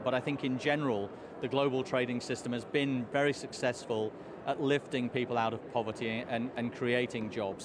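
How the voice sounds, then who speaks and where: A hoarse man, a trade commissioner, answering interview questions in a measured way with many fillers. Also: medium pitch. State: hoarse, losing his voice. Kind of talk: live outdoor interview answers. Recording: street noise behind.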